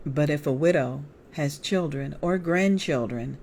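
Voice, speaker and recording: A middle-aged American woman reading her memoir aloud as author and evangelist, with deliberate, expressive storytelling. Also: low-pitched, slight background noise.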